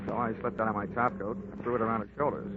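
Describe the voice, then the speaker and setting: A man's voice: on the low side, flat delivery, fast, heavy background noise. Wisecracking man narrating a detective drama. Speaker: insurance investigator and narrator. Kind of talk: 1940s radio acting. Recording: lo-fi old radio recording with music.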